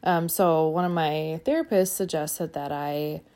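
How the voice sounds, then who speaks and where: A woman speaking calmly and evenly into her phone, reflective and trailing off at times. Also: low-pitched, measured pace. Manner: calm, even-keeled, trails off. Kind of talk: reflective personal monologue.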